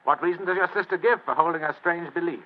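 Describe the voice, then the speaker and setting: Through a tinny, narrow old-time radio broadcast comes a man acting a lazy British government grandee of mature years. He is high-pitched for a man, pompous and languidly sardonic, every line delivered in 1940s radio-play style. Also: fast, close to an everyday voice. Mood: amused, probing. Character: pompous, lazy older gentleman, senior Foreign Office official.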